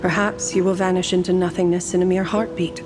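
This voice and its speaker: A woman voicing a serene, millennium-old painter and guardian in a video game, calm, formal and lyrical. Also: fairly low pitch, fast, close to an everyday voice. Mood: solemn, foreboding. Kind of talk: poetic scripted game dialogue. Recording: music under the voice.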